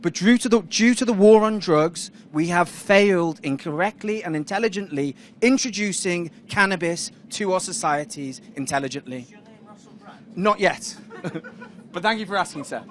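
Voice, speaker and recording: A man giving a loud, confident startup pitch on a moving tram, bantering with hecklers. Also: normal speed, slight background noise.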